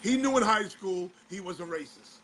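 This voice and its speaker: A male show host, slightly hoarse, talking in a casual commentary style and firing off exasperated rhetorical questions. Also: high-pitched, expressive, normal speed, fairly bright tone, a little hiss or hum, poor audio.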